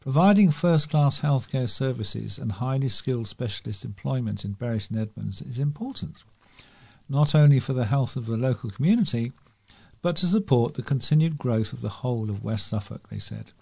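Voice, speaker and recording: A man reads local news from a script as a volunteer reader. His voice is soft-edged and mellow, at an average pitch for a man. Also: lively intonation, normal speed, vocal fry, breathy edge. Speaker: volunteer newsreader. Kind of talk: scripted local news reading for a talking newspaper.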